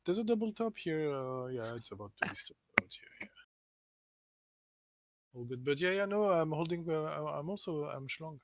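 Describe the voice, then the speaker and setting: A man's voice: fairly high pitch, fairly bright tone, no echo. A man hosting a live trading chat, rambling and correcting himself as he talks through his positions. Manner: rambling, self-correcting, laughs often. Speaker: livestream host.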